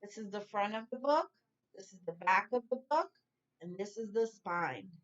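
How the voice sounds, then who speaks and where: A woman teacher reading a storybook aloud to young children in a deliberate, instructive way. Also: medium pitch, animated, highs cut off.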